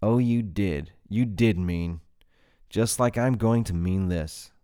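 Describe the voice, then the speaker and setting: A man voicing an unhinged balding male writer, talking in a flat, mocking, menacing way. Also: lowish voice, takes it slowly, clean tone.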